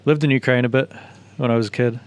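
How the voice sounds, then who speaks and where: A mid-pitched man co-hosting a casual podcast. He tells his stories plainly, with a dry, wry humor. He was born in Africa and raised elsewhere. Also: steady tone, fast talker, no room sound. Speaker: podcast co-host. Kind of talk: casual chat.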